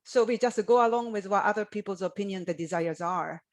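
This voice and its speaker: A woman's voice: medium pitch, fairly quick. Woman on a zoom panel, earnest and thoughtful, talks for a long while. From Japan. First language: Japanese. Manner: earnest, reflective, speaks at length. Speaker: college faculty panelist. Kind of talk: panel discussion. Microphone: video call.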